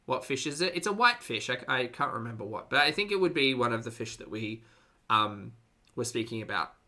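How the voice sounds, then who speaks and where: A man's voice: medium pitch, animated, fairly quick, bright, forceful tone. A man hosting a reaction stream, chatting casually to his live audience with dry, teasing sarcasm. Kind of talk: casual live commentary to a chat.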